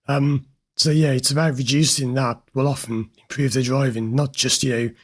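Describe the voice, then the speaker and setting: A husky, slightly hoarse man talking straight to his viewers. He explains what he hears in a client's words, direct and a little amused. His voice sits at an ordinary middle pitch for a man. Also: steady tone, moderate pace. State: slightly hoarse from recording many videos. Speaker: host, therapist analysing a recorded session. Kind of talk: commentary over an interview clip.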